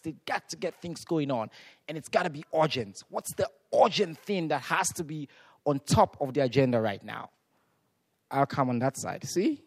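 A man's voice: on the high side, no echo, studio quality. A man from Sierra Leone moderating a youth forum, rousing the crowd and calling out questions to the room. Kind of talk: energetic audience moderation.

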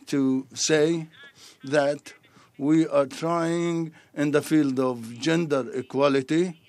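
A man interpreting a formal statement into English, speaking in measured phrases with pauses between them. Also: a little high, no echo.

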